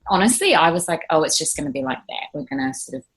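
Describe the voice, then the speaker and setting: Nz woman in her 30s, low breathy voice, chatty nurse in an interview. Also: animated, fast. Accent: New Zealand. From New Zealand. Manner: earnest, thoughtful, with frequent fillers and restarts. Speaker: ICU nurse guest. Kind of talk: conversational interview answers.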